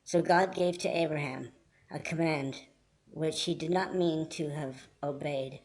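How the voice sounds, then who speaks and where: A man reading scripted Bible storybook narration in a measured, earnest manner. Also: a little high, steady tone, rough recording.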